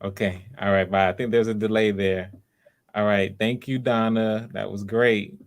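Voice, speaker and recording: Low-voiced, gravelly man hosting online. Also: level tone. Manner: effusive encouragement, repeats phrases, halting. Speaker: audition host, writer-director. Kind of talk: casual live-stream chat. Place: online video call.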